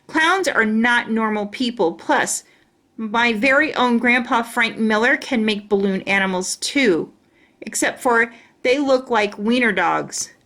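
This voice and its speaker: A woman's voice: a little high, unhurried, sharp tone. A woman voicing a chatty, boastful, stubborn young schoolgirl in a children's storybook, with emphatic childlike wording. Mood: defiant. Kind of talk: children's storybook read-aloud.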